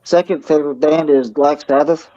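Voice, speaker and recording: A man talks in a halting, earnest way, often restarting his words. His voice is a touch high but dark and mellow. The sound is dry and muffled, like a lo-fi recording. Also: steady tone, no room sound. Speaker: guest talking about himself. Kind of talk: casual group chat.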